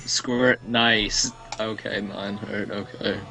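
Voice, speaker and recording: Gravelly young man rambling about a game. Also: fairly low pitch, flat delivery, takes it slowly, bright, forceful tone, faint music behind. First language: English. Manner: rambling, casual swearing. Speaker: let's play streamer. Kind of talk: casual gaming chat.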